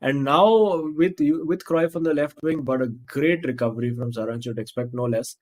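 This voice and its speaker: A man's voice: mid-range voice, darkish tone. Filler-heavy man commentating. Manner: halting, many fillers and restarts. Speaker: esports commentator. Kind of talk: live esports co-commentary.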